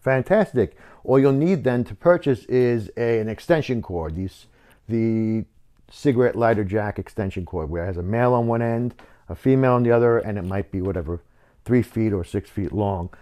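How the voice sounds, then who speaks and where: A man's voice: fairly low pitch, moderate pace, warm tone. A man in his fifties hosting a casual how-to tutorial, explaining step by step in a conversational way. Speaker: host of a how-to video channel. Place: wooden home workshop, indoors.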